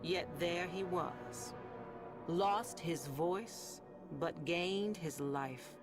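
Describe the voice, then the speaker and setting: A woman playing a sardonic, world-weary radio host in a sci-fi game, telling stories in a deliberate, ominous, wry way with long pauses over music. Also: fairly low pitch. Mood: ominous storytelling. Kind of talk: slow dramatic monologue to listeners, storytelling.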